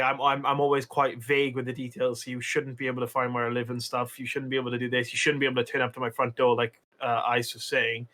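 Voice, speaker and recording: A man's voice: mid-range voice, pushed and bright. A young Welsh man, a streamer, chatting casually on a podcast with lots of fillers and restarts. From Wales. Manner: rambling, many fillers and restarts.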